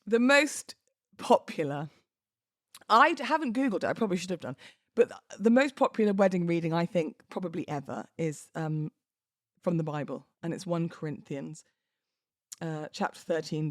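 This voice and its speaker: A woman speaks in a fairly low register, in a dry space with very little room sound. She hosts a podcast on her own, and her delivery is informal and conversational, gently self-deprecating. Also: moderate pace, long pauses, no room sound. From United Kingdom. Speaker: podcast host. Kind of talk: chatty solo podcast with readings aloud.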